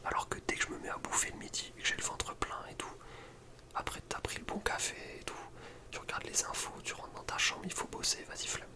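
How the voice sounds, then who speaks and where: A young man speaks entirely in a soft, breathy whisper. He chats informally in slangy, rambling phrases, in the manner of an ASMR video creator talking to viewers. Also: a little fast. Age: young adult. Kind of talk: whispered ASMR chat about personal routine.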